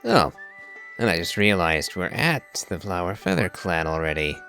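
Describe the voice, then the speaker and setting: A man's voice: deep voice, big pitch swings, moderate pace, pushed and bright, faint music behind. A man reading game dialogue aloud and giving casual commentary as a streamer.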